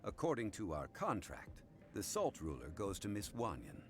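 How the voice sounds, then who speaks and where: An actor voicing a calm, formal man who upholds contracts, speaking slowly and with measured authority. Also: lowish voice. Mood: stern. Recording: played game audio with faint music.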